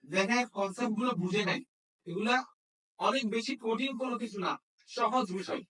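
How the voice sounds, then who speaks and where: A man's voice: very high voice, moderate pace, sharp tone. A man teaching a hands-on repair class, often checking understanding with questions. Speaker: phone repair instructor. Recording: echoey room, distant microphone.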